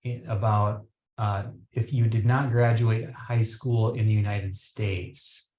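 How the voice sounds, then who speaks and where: A man with a lowish voice speaks very slowly and haltingly as he relays a chat question. His call audio sounds dull and roomy. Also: steady tone, a little mellow. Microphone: video call.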